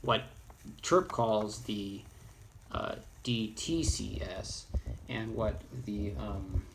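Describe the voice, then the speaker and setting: A man presenting an informal, off-the-cuff software tutorial, halting and rambling as he thinks aloud. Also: on the low side. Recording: clearly noisy, with keyboard clicks.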